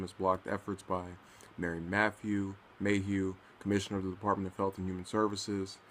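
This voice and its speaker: Low, flat-voiced man with background noise. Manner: stumbles over words when reading. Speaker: commentator. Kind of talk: reading a news article aloud, with casual commentary.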